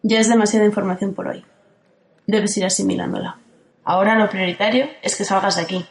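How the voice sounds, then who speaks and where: A woman from playing a calm, ageless guardian who speaks in a steady, firm way in an audio drama. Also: medium pitch, a little fast, natural voice. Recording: roomy echo.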